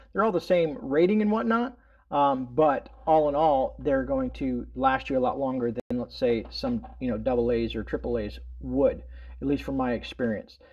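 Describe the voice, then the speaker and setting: A man's voice: mid-range voice, dull audio. A middle-aged man hosting a casual product demonstration indoors, explaining in an informal, rambling way full of verbal tags. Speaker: host of a prepping product review channel. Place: indoors in a room at home.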